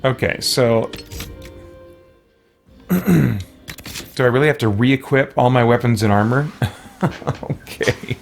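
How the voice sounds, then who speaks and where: A man's voice: mid-range voice, slow, creaky, long pauses, soft background music. A man doing casual let's play commentary on a video game, muttering and grumbling wryly to himself as he plays. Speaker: video game streamer playing and reacting.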